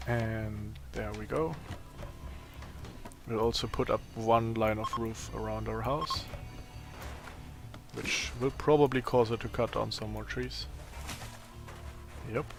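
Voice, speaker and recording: A man with a raspy, tired voice giving casual gameplay commentary, thinking aloud as he plays. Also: lowish voice, steady tone, over music. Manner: slow, thinking aloud while playing. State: voice strained from a long day of talking.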